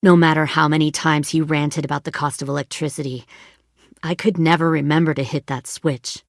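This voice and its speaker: A woman's voice: sits low, steady tone, a little fast, no echo, clean studio sound. A woman playing a cold, menacing middle-aged commander of armed survivors in a dramatic video game. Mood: calm, bitter storytelling.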